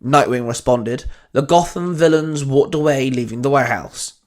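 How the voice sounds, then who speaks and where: Male narrator, medium pitch, scripted storytelling, fan fiction reading. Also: normal speed.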